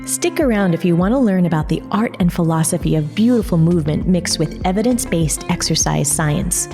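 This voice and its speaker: A woman in her forties hosting a movement-teaching podcast, talking in a lively, upbeat way. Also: on the low side, fairly quick, faint music behind. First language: English. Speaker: podcast host. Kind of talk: casual podcast conversation.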